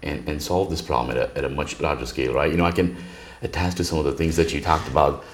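A man's voice: extremely low pitch, fairly even intonation, fast talker. A man interviewing in a casual, conversational way, often checking his points with little tag questions. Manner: punctuates points with 'right?' and 'you know'. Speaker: interviewer, tech executive.

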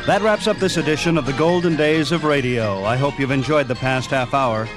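A man's voice: mid-range voice, brisk, over loud music. An American man reading a scripted radio sign-off as the host of the show.

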